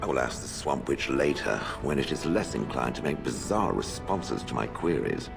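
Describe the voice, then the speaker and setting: An actor playing an ancient stone golem in a video game, sardonic and haughty, with dry, formal phrasing. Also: male voice, rumbling low, lively intonation, normal speed, vocal fry, over music, exaggerated voice. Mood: puzzled, haughty. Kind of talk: video game character acting.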